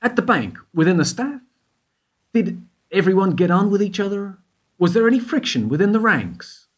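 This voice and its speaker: A man's voice: sits high, sing-song, normal speed. A man playing an investigator who keeps pressing a witness with question after question in a radio play. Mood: probing. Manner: persistent, probing questioning. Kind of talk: amateur radio play acting.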